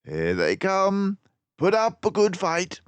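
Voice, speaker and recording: Man voicing a high-pitched, theatrical mouse detective. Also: wildly swooping pitch, takes it slowly, smooth, no echo, heavily acted. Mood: confident, urgent. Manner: emphatic, lecturing, precise. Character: clever, confident male mouse detective, theatrical and eager. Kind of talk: storybook character voice.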